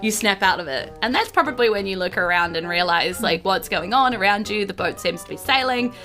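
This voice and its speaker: New woman, game master, bright voice, chatty, giggly, improvised narration, music behind. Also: mid-range voice, lively intonation, fairly quick. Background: faint background music.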